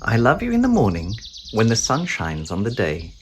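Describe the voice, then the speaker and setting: A narrator reading a picture book aloud to young children in a gentle, affectionate way with drawn-out phrasing. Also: mid-range voice, huge pitch swings, a little hiss or hum. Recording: music behind the voice. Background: music.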